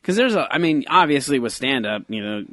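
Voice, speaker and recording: A man hosts a comedy podcast in a bright, forward voice of middle pitch. He is easygoing and laughs along with his guest. The recording is dry and close to the mic. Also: sing-song, fairly quick, no echo. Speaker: podcast host. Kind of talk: casual podcast chat.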